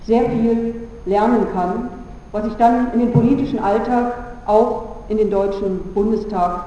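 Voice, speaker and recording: A woman's voice: a little high, dark tone, a little hiss or hum. Earnest woman speaking in an echoey hall. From Berlin, Germany. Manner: measured and earnest, with deliberate pauses. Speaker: politician giving a conference speech. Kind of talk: formal prepared speech. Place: large conference hall. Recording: echoey hall, dull sound, faint ambience.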